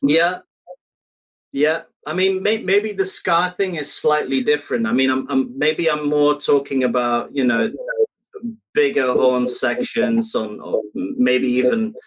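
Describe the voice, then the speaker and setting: Male recording engineer from Belgium, mid-pitched, opinionated, casual conversational delivery. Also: a bit bright, poor audio. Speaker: recording engineer, interview participant.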